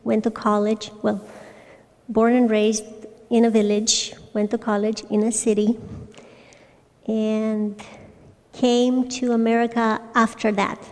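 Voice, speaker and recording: A woman in her forties gives a personal testimony at a meeting. Her voice sits a little high and stays on a narrow, even line, and she speaks slowly, pausing and restarting often. The sound carries a long reverb from a large hall. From Romania. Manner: hesitant, with frequent pauses and restarts. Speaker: speaker at a recovery fellowship meeting.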